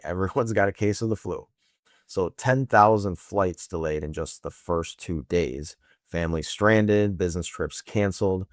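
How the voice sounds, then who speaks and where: A man's voice: sits low, darkish tone, no echo, studio quality. A man hosting scripted political commentary in a sarcastic, emphatic manner full of rhetorical questions. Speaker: host of a political news commentary show.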